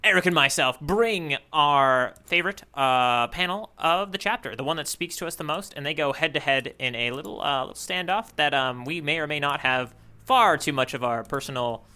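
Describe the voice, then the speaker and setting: A man co-hosting a podcast, chatting casually about comics in a halting way, often restarting his sentences. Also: fairly high pitch, expressive, sharp tone, smooth. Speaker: podcast co-host. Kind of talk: casual chat about a manga.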